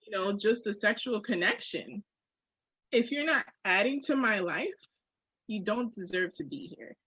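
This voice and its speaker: A woman's voice: medium pitch, animated, a little slow, fairly bright tone, slightly rough, no echo, highs cut off. A woman talking candidly on a podcast in an emphatic, slangy way, with swearing.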